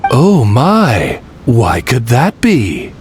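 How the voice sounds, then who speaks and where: An urbane servant creature from a video game. He speaks slowly and very expressively, courteous with a wry, teasing edge. A rumbling noise sits behind the voice. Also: huge pitch swings, exaggerated voice. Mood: slyly polite. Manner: polite, measured, wry. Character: urbane male familiar, courteous butler-like servant, slyly teasing.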